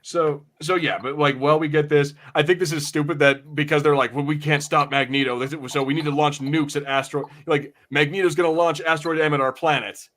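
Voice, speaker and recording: Higher-voiced guy ranting with casual swearing. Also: steady tone, fairly quick, hardly any pauses, fairly bright tone. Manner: profane, exasperated ranting. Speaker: podcast co-host. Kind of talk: casual pop culture review chat.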